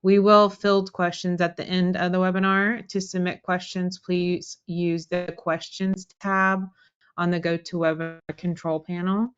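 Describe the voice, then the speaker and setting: A woman, a marketing specialist, reading a scripted webinar introduction in an even, formal manner. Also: lowish voice, measured pace, lo-fi sound, a little hiss or hum. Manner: reads a prepared welcome in an even, formal way.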